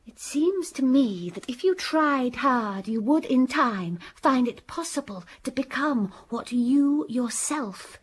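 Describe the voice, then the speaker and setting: A composed young governess, quiet and earnest, slightly high, faint hiss, radio play. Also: female voice, animated, slow pace, few pauses. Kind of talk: radio drama acting.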